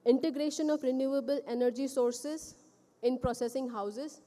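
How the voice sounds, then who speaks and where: Woman at a conference stand talking, high mellow voice, big reverby hall. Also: steady tone, normal speed. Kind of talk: conference presentation.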